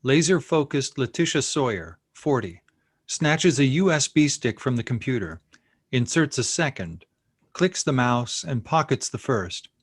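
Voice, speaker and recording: Male narrator, mid-pitched, dry recording, measured reading of script directions. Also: lively intonation, slightly rough, dry recording.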